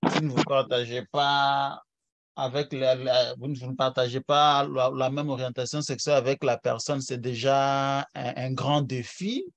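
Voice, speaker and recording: A man introducing himself on a video call, speaking haltingly with many repeated words and restarts. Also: medium pitch, steady tone, dry recording. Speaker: workshop participant. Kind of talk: personal introduction.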